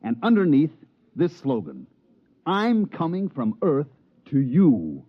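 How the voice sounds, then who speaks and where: A brash con man hustling miners on Mars, with a husky, lively voice that swings up and down as he pitches. It sounds like an old radio play on a dull, muffled vintage recording. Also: slow pace, exaggerated voice. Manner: slick, wheeling-dealing salesman patter. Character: fast-talking male swindler promoter on Mars. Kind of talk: 1950s radio drama acting and narration.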